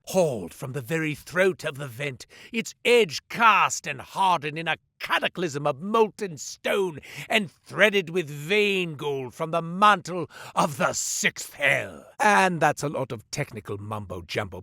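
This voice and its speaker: An actor playing a sly, smooth-talking male merchant who spins tales while selling gear, in a game. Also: a little high, bright, forceful tone, no echo, studio quality, full character voice. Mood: salesy. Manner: theatrical, salesman patter, wry. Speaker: shopkeeper narrating a tale. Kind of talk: studio game voice lines. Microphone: close studio mic.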